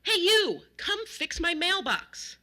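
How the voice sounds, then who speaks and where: A woman's voice: on the high side, big pitch swings, moderate pace, sharp tone, full character voice. A woman voicing a demanding, exasperated old male neighbor, blunt and emphatic, in a spoken anecdote. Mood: exasperated shouting. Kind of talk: acted quotes in a spoken anecdote.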